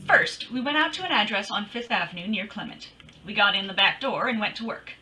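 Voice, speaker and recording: A woman's voice: medium pitch, animated, brisk, bright, forceful tone, slight background noise, low-quality recording. A woman playing a bold, wisecracking private detective in an old-time radio drama, with a breezy, teasing, wry delivery. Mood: brisk storytelling. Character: bold, wisecracking female private detective narrating her case.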